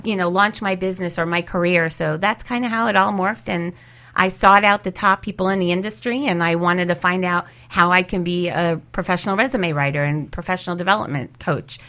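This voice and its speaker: A woman's voice: fairly low pitch, fairly quick, a bit bright. A woman speaking conversationally as a career coach being interviewed.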